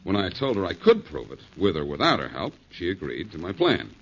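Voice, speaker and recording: An actor playing a confident, easygoing amateur detective in a 1940s radio drama, explaining things casually. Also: male voice, deep voice, huge pitch swings, brisk, breathy edge, heavily acted. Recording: muffled, noisy 1940s radio recording.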